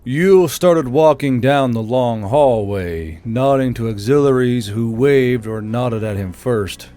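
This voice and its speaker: A man's voice: mid-range voice, slow pace. A man reading scripted fiction narration as the narrator of an audiobook. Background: soft background music.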